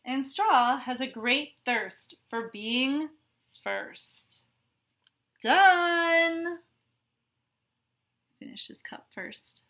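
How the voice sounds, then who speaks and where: A woman's voice: on the high side, slow pace, pushed and bright. A woman reading a picture book aloud to children, adding chatty, explanatory asides as a teacher would.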